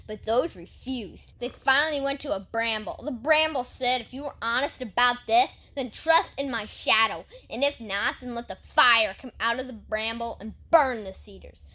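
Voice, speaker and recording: A woman's voice: on the high side, sharp tone. A woman voicing a righteous, defiant boy who declaims his accusation in an audio Bible drama. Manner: declaiming, calling out from afar.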